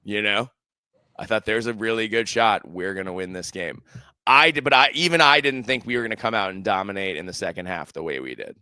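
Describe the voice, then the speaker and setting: A man in his thirties talks football on a home podcast, his voice bright and crisp despite the strain from yelling at a game. He piles on stats with growing excitement. The sound is close and dry. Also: fairly low pitch, brisk, no echo. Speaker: podcast co-host. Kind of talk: casual sports chat. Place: home room, indoors. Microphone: headset with studio mic.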